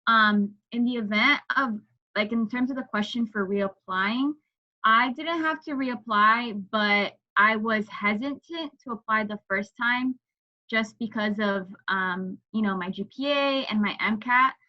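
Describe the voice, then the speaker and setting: A woman's voice: on the high side, a little slow. A woman medical student on a video-call panel, earnestly and reflectively explaining her own application choices. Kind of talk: casual account on a video call. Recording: dull lo-fi video call audio.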